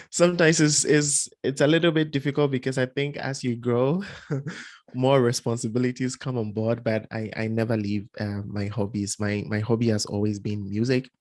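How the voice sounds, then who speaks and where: A man's voice: medium pitch, fairly even intonation, moderate pace, a little mellow, dry recording. A young adult man from Ghana, speaking as an interview guest in an earnest, casual way, often repeating words and laughing lightly. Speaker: guest, environmental engineer.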